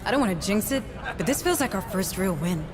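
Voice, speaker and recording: A woman playing a calm, practical and supportive spaceship officer in a video game cutscene. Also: mid-range voice, brisk, music in the background, lightly acted. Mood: composed. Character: composed, supportive female second-in-command aboard spaceship.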